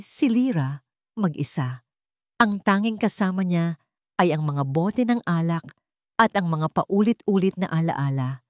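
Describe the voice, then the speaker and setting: Female Filipino storyteller for dramatic fiction narration; slightly low voice, close dry recording. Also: darkish tone, dry recording.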